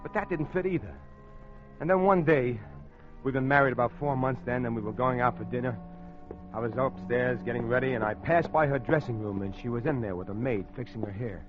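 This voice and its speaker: A man's voice: medium pitch, brisk, natural voice. An actor playing a tough, slangy, tormented American crook who tells his own story in an old radio crime drama. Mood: brooding. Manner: slangy, hard-boiled. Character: tough male small-time crook, tormented, confessional narrator. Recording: dull old radio recording, music behind.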